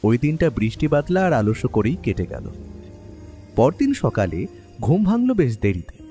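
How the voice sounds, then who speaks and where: A man narrating as a lively writer-companion. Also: medium pitch, normal speed, close to an everyday voice. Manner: lively, expressive storytelling. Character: the detective's male companion and writer, first-person narrator. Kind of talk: storytelling narration in an audio drama. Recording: long reverb over soft music.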